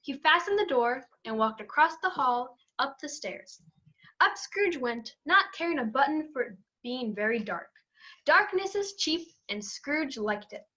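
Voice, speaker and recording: A woman's voice: high voice, lively intonation, a little slow, sharp tone. A young woman narrating a scripted story dramatically, on a slightly dull recording.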